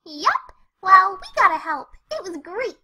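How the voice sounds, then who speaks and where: A woman voicing a bubbly, excitable young female cartoon pony, perky and chatty as she narrates a storybook tale. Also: very high-pitched, unhurried, full character voice. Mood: cheerful, upbeat. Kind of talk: first-person storybook narration with character dialogue.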